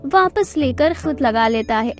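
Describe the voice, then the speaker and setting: High-pitched woman narrating a story. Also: expressive, a little slow, faint music behind. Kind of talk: scripted Hindi story narration.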